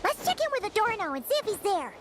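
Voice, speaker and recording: A woman's voice: very high-pitched, very animated, quick pace, a bit bright, full character voice. A woman voicing a tiny, chirpy and blunt fairy companion in a video game, who talks about herself in the third person. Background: game music.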